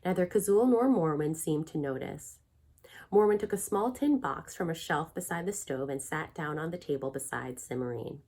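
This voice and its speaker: A middle-aged woman narrating a children's book aloud in an expressive, clearly phrased storytelling manner. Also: low voice, normal speed. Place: small furnished room at home.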